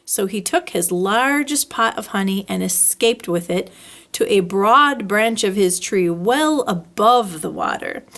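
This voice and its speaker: Animated woman reading a children's story. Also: mid-range voice, measured pace. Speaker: library storyteller.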